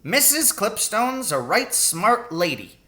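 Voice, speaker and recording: A man performs a sly old country taxi driver from a storybook. He is a rustic poacher who talks in colloquial exclamations. The voice rides high and bright, swooping up and down. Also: very animated, slow, full character voice. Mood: jovial.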